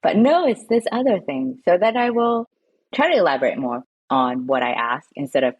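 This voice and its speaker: A low-pitched woman with a slightly husky voice speaks casually as a podcast guest. Her speech is loose and explanatory, full of small fillers. The recording is dry and close, like a video call. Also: very animated, moderate pace, no echo, lo-fi sound. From San Francisco, USA. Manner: explanatory, uses fillers like "kinda" and "like". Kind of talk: casual interview answers. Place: home or office, evening.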